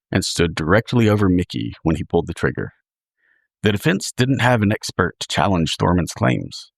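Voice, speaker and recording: A man reading scripted true crime narration in a measured, dramatic storytelling manner, as a podcast host. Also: low-pitched, dry recording, studio quality.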